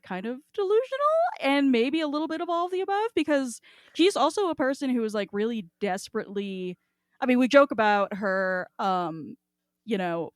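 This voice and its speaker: A woman hosting a podcast, chatting casually about fiction in an animated, halting way with frequent rephrasing. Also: on the high side, clean tone, no echo, studio quality. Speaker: podcast host.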